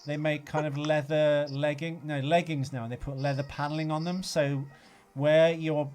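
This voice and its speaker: A man's voice: fairly high pitch, level tone, normal speed. A man co-hosting a podcast, chatting casually about a film with a teasing, sweary manner. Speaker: podcast host.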